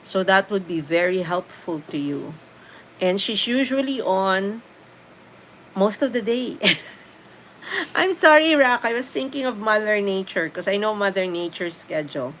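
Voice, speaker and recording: A woman's voice: medium pitch, expressive, unhurried, a bit bright, a little hiss or hum, rough recording. A middle-aged Filipino woman with a Filipino accent, whose first language is Tagalog, hosting a casual live stream where she reads viewer comments aloud and answers them with easy laughs. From Philippines.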